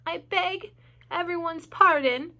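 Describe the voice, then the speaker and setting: A woman's voice: very high voice, slow pace, pushed and bright, voice pushed up, heavily acted. A woman voicing an eager, polite young male pig in a storybook, with formal, pleading manners. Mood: meek.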